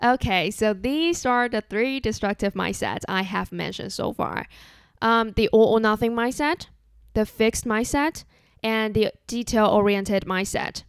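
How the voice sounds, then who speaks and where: Young woman, Chinese accent, podcast host, earnest and measured, smooth clear voice, dry close mic. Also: a little high, no echo. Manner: earnest, measured, relaxed and conversational. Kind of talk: solo podcast monologue.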